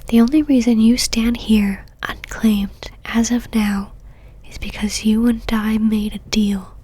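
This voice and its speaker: A woman's voice: fairly high pitch, steady tone, a little slow, dark tone, husky. A woman playing an imperious, possessive female vampire knight in an ASMR roleplay, whispering condescending endearments and commands. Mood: stern, condescending.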